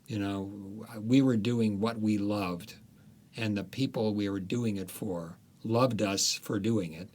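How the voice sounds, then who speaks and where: A man, an author, talking through his ideas in a casual interview, thoughtful and halting, with frequent restarts. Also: lowish voice, steady tone, darkish tone.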